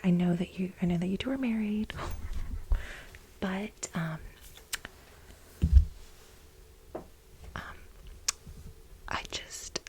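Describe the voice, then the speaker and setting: A woman playing a fawning, gossipy office worker in a soft-spoken ASMR role play, breathy and hesitant. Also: medium pitch, close to an everyday voice, low-quality recording. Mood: gossipy, sympathetic. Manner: hesitant, halting, nervous giggles, ingratiating. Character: fawning, gossipy female office employee sweet on her boss.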